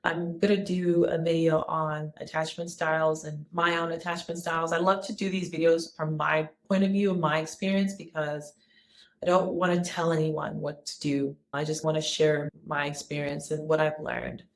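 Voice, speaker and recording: A woman talking to camera in a casual personal vlog, calm and earnest, with a soft voice she calls calming. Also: low-pitched, moderate pace, a little hiss or hum. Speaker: wellness vlogger.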